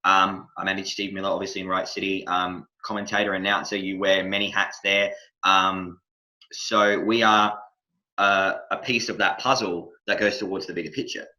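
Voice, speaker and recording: An Australian man hosting a casual podcast chat, talking loosely and haltingly, circling back over his phrases. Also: sits low, little pitch movement, sharp tone, low-quality recording. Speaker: podcast host, wrestling manager.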